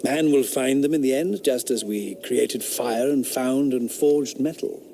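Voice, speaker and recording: An actor playing a sardonic, rationalist eighteenth-century philosopher in a radio drama, speaking in a declamatory, scornful manner. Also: male voice, medium pitch, unhurried, vocal fry, warm tone, slight background noise. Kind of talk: period radio drama acting.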